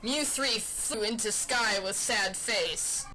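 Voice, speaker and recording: A woman reads a story aloud in a bright, high voice. She is playful and mock-dramatic, unhurried, with a faint fan noise behind her. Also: low-quality recording. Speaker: reader in an amateur dramatic reading. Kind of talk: mock-dramatic fan fiction narration with casual asides.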